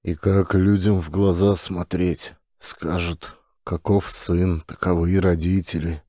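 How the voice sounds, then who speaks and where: A man's voice: low-pitched, level tone, measured pace, warm tone, no room sound, clean studio sound. A man voicing an exasperated, resigned father of a teenager, stern and grumbling, in an audiobook.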